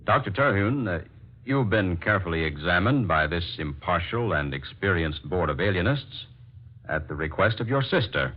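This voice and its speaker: A solemn American medical specialist with a very low voice. He delivers official words formally and haltingly, in 1940s radio drama style. The recording is dull and lo-fi. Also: lively intonation. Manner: hesitant, formal.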